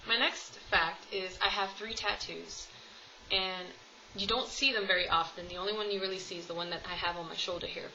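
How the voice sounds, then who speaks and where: Chatty young woman, bright voice. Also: medium pitch, fairly quick, muffled recording, a little hiss or hum. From United States. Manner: chatty, rambling with many ums and laughs. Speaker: vlogger. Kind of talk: casual tag video chat. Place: home room, at home with cats.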